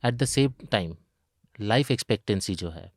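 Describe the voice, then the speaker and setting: An Indian man speaks in a fairly low voice, recorded close and dry. He explains like a financial expert in an interview, walking through examples and putting questions to his listener. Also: expressive, unhurried, dry recording. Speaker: retirement expert, pension fund investment chief.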